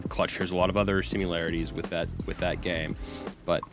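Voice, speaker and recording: An American man from California speaking casually as an interview guest, halting and searching for words. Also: low voice, level tone, over music. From California, United States. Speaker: game designer, interview guest.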